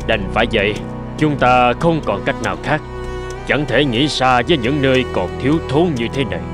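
A man voicing a grave older gentleman physician and mentor in a dubbed cartoon, speaking in a measured, formal, earnest way. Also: medium pitch, over music, close to an everyday voice. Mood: resigned.

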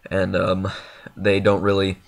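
A man's voice: deep voice, monotone, unhurried, gravelly. A young man with a stuffed-up nose, giving a casual channel update in a rambling, filler-heavy, self-deprecating way. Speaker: gaming YouTuber.

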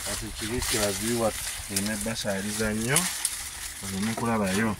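Low-voiced Ugandan man cooking outdoors. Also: little pitch movement, brisk. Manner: mixes Luganda and English, talks to viewers while working. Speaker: homestead cook and channel host. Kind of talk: casual cooking vlog commentary. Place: outdoors at a rural homestead cooking over a wood fire. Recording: outdoor recording with clear background noise. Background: rural outdoor ambience.

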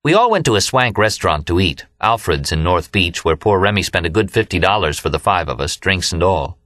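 An American man narrates a novel in a low voice with a bright, forceful edge. It is scripted first-person audiobook reading, recorded close and very dry. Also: very animated, a little fast, no echo. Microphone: close studio microphone, dry.

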